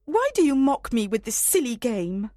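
A man's voice: extremely high pitch, sing-song, moderate pace, dark tone, dry recording, studio quality, pitched above the performer's own voice. A man voicing a lovelorn young woman in a storybook, plaintive, wounded and dramatic. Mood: hurt, indignant. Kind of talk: storybook character voice.

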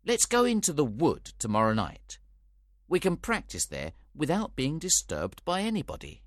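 A man's voice: a little high, wildly swooping pitch, normal speed, dry recording, clean studio sound, voice pushed up. A man voicing a firm, patient but easily exasperated troupe director giving instructions in an audiobook. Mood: instructing. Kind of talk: audiobook character dialogue.

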